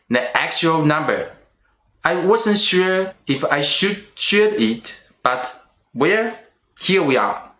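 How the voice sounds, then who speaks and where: Middle-aged guy w chinese accent, kinda high voice, talks real slow n careful. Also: fairly bright tone. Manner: slow and careful, with restarts on small words. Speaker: channel host. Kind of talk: personal vlog monologue.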